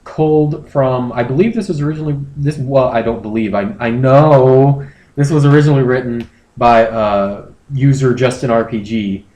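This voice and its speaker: A man hosting a comedy podcast, talking casually in a joking, halting way. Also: medium pitch, mellow. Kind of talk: casual chat. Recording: echoey room.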